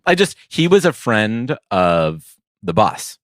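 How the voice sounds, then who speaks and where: A man with a deep voice and very animated intonation chats casually as a podcast co-host. He feels his way through thoughts haltingly and rambles a little. The sound is dry, with no room echo. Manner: halting, rambling, thinks aloud.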